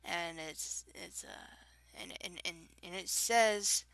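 Giggly Southern woman, slow and bright. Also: lowish voice, vocal fry, no room sound. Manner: giggly and rambling, with many restarts. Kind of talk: casual chat recorded alone.

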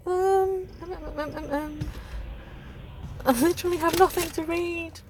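A woman's voice: extremely high pitch, steady tone, unhurried, background noise. A woman from Northeast England with a Northeast English accent, chatting casually in a car with lots of hesitant ums. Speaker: vlogger doing an accent challenge. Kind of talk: casual chat. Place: sitting in a car.

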